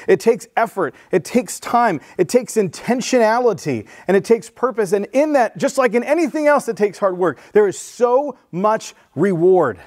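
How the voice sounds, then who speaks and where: A man's voice: sits high, a little mellow. A man in his thirties, a pastor, preaching to his church in an earnest, conversational way, on a headset mic. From San Diego, USA. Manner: earnest, conversational preaching with restarts and rising emphasis. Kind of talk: sermon. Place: church stage with blue lighting.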